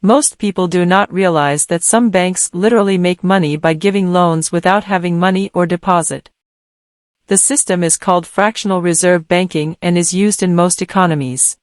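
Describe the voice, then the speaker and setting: Woman, slightly low pitch, scripted read-aloud, academic practice, dry close recording. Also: fairly even intonation, moderate pace, dry recording. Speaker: exam practice reader.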